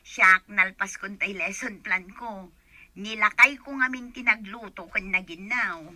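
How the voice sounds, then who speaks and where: A woman's voice: medium pitch, measured pace, sharp tone, dry recording. A woman with a Filipino accent acting a chatty, teasing schoolteacher friend in a radio drama.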